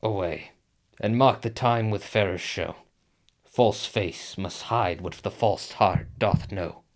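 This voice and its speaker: An actor playing a brooding, conflicted nobleman with deliberate, theatrical verse delivery in a Shakespearean radio drama. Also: on the low side. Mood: resolved.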